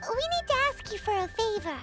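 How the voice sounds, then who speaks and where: A woman voicing a small, cheeky childlike fairy companion in a video game, squeaky, bubbly and blunt. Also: big pitch swings, brisk. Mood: eager, cheeky. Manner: bubbly, blunt, refers to self by name. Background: music.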